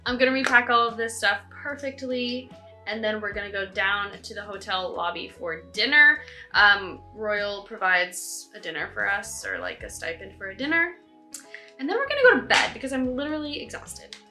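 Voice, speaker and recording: A woman's voice: medium pitch, lively intonation, sharp tone, faint music behind. A young woman in her twenties vlogging casually to camera, chatty and upbeat but tired, recorded in a hotel room and a small cabin with the camera close by or at arm's length. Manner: chatty, upbeat, quick asides and laughs. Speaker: cruise ship dancer vlogger. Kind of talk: casual vlog talking to camera. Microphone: camera on a tripod or held at arm's length.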